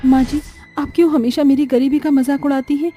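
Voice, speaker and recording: A woman voicing a meek, polite young daughter-in-law with a gentle, pleading manner in a cartoon story. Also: high-pitched, fairly even intonation, fairly quick, soft background music, natural voice. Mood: hurt, pleading. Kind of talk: dramatized family storybook cartoon.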